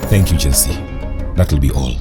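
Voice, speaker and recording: A man playing a cold, commanding tycoon villain in an animated drama, curt and controlled with quiet menace. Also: a bass voice, normal speed, over music.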